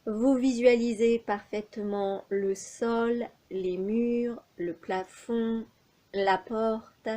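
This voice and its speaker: A woman leading a guided yoga nidra relaxation as an instructor, giving calm, soothing, measured instructions with long pauses. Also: a little high.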